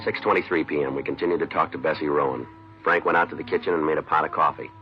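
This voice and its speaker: Deadpan cop on old radio crime show, deep voice, quick and clipped, muffled recording. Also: male voice, soft background music. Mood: matter-of-fact. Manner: clipped, deadpan, matter-of-fact. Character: terse, businesslike male police detective, narrating his case. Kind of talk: 1950s radio crime narration and acting. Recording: dull vintage radio recording.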